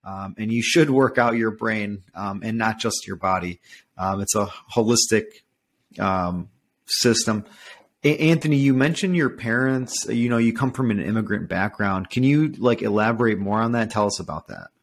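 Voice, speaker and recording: Low-voiced man chatting casually as interviewer. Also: expressive, normal speed. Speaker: podcast interviewer. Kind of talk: casual chat.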